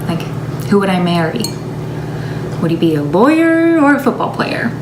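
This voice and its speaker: A woman's voice: mid-range voice, very animated, normal speed, natural voice. Woman performing a chatty self-deluding woman, confessional, emphatic stage monologue, echoey room, background noise. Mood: dreamy, nostalgic. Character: chatty, wistful, self-deluding woman confiding in her sister.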